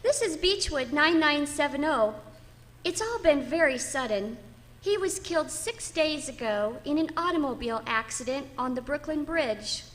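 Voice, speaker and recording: A woman's voice: high-pitched, animated, moderate pace, a bit bright. An actress playing a polite, reserved, solemn woman answering a household telephone in an old-time radio drama. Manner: polite, reserved, matter-of-fact.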